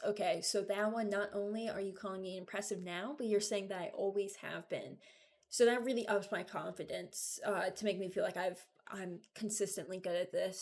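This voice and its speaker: A woman's voice: medium pitch, a little mellow. A young adult woman giving informal, candid commentary as she ranks things, rambling as she thinks aloud. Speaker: video creator. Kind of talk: casual ranking commentary.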